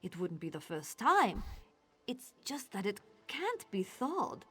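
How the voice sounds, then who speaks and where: A wry, dismissive town guard. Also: female voice, medium pitch, sing-song, slightly rough, heavily acted. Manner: brisk, reassuring, dryly sarcastic. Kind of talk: fantasy video game acting.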